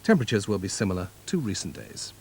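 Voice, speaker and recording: A man's voice: on the low side, very animated, fast. A man with a British accent making scripted television continuity announcements. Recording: faint tape hiss.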